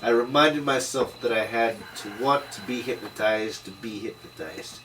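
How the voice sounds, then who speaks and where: A man's voice: medium pitch, moderate pace, fairly bright tone, lightly acted. Man playing a cynical hardboiled noir detective, distant mic. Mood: wry, world-weary. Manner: hardboiled slangy first-person storytelling. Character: cynical, hard-drinking male noir detective, tough and wisecracking. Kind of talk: table read of a noir drama script. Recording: distant microphone, noisy room.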